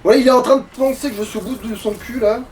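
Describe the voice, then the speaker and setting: A man's voice: very high voice, fairly even intonation, fast talker, darkish tone, slight background noise. A man giving casual, colloquial, sweary let's play commentary as he plays a video game. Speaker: gamer playing a boss fight. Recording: distant mic, game music behind.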